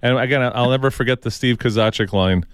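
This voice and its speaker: A man's voice: mid-range voice, fast, clear, resonant tone, no echo, studio quality. A man hosting a talk radio show, speaking casually and bluntly, with emphatic opinions.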